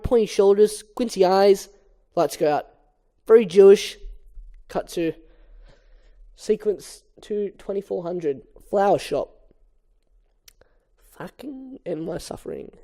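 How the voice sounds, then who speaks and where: A man's voice: high-pitched, warm tone. A man reading a script informally as an amateur, with a weary, exasperated, crude manner.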